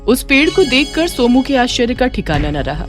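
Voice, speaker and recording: A woman narrating a scripted children's moral story in an expressive storytelling manner. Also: high-pitched, moderate pace. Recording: faint music behind.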